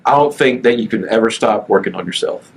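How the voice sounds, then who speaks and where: A nineteen-year-old man talking as a guest on a podcast, relaxed, often pausing with fillers and restarting his sentences. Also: fairly low pitch, gravelly, slight background noise. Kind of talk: casual interview chat.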